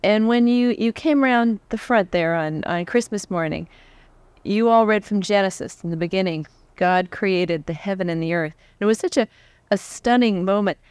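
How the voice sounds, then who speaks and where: American woman, forties, interviewer, very smooth clear voice, mid pitch, chatty, dry close microphone. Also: normal speed, darkish tone, no room sound. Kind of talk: conversational live interviewing. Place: on stage before a live audience. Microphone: large microphone on a stand, close.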